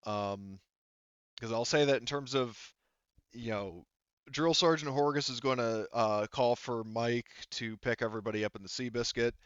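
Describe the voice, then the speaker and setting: A man narrates a tabletop game as its game master. His voice is smooth, at an average pitch, and he feels his way through sentences conversationally. The sound is dry and slightly muffled. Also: normal speed, fairly bright tone, no echo. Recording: slightly dull close dry mic.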